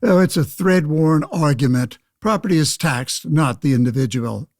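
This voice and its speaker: An actor playing a pompous, old-fashioned senator of 1911, speechifying against suffrage in a radio play. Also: male voice, fairly high pitch, moderate pace, dry recording, clean studio sound, lightly acted. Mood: condescending. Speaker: debate guest. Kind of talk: pseudo-radio play, oratorical debate.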